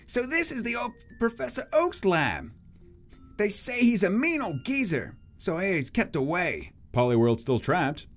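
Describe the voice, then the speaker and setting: A man voicing an excitable boy hero in an exaggerated, theatrical comic-book reading. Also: sits high, measured pace, soft background music, heavily acted. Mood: curious, earnest. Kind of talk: dramatic comic-book reading with funny voices.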